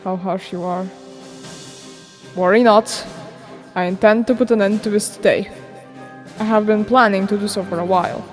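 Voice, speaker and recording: A woman's voice: medium pitch, fairly even intonation, normal speed, pauses often, long reverb tail, natural voice. A woman acts out a lordly vampire father in a fantasy game dub. The speech is archaic and theatrical, weary with dignity yet boyishly keen on tales. Music plays under the lines. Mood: weary, composed. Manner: archaic, formal, theatrical diction. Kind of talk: dramatic game fandub acting.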